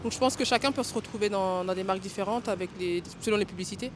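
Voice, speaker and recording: A woman's voice: mid-range voice, fast talker. Young woman, faint city noise behind. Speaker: street interviewee. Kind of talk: casual opinion in a street interview. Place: outdoors on a city street. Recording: faint urban street noise.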